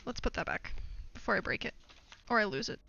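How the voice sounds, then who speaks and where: A woman's voice: medium pitch, sing-song, a little fast, vocal fry, bright, forceful tone, muffled recording. A young woman live streamer chatting casually from a room at home, rambling and thinking aloud as she plays. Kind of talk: casual gaming livestream chat.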